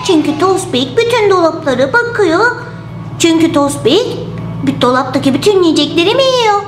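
Woman voicing a very high-pitched playful little girl. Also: sing-song, moderate pace. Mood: excited, crafty. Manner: exclamatory, childlike, explaining each step. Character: cheerful little girl hiding her sweets, playful and crafty. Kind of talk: children's toy play narration. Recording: roomy voice over loud music.

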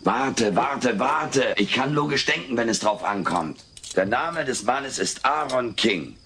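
An actor playing a cheeky, excitable furry alien in a dubbed sitcom audio drama, insistent and comically earnest. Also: male voice, medium pitch, measured pace, a crowd in the background, close to an everyday voice. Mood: stubborn. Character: wisecracking, excitable furry alien living with a family. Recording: hissy old cassette recording.

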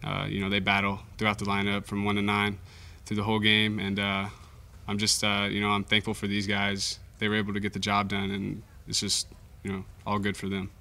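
A young man, a college baseball pitcher, giving modest, understated answers at a post-game press conference. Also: completely flat delivery, fairly quick, creaky, few pauses, sharp tone. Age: young adult. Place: indoor press conference room. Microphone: table microphone.

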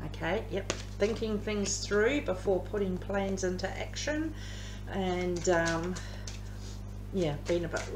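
A woman in her fifties with an Australian accent and a slightly croaky voice, chatting her way through a tarot card reading at home, rambling with plenty of ums. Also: mid-range voice, slow. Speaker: tarot reader. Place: living room at home. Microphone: camera across the table. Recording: echoey room with background hum.

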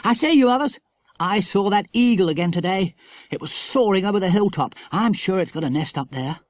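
An excitable schoolboy voiced by a man from a children's adventure story. The voice is raised high and very lively, exclaiming with boyish enthusiasm. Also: a little fast, no room sound. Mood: eager.

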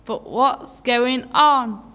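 Woman as a bright-voiced doubtful girl camper. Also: fairly high pitch, drawn-out words, exaggerated voice. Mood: uneasy. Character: doubtful, worried young female camper.

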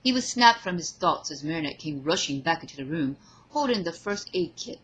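A woman reading fan fiction aloud as an expressive story narrator. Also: sits low, fairly quick, slight background noise, rough recording.

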